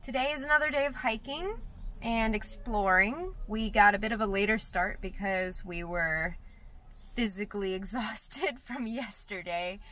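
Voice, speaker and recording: A woman's voice: mid-range voice, measured pace, background noise. A young woman vlogging casually on a hike, sounding enthusiastic and awed. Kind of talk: casual travel vlog. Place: car, then outdoor forest trail.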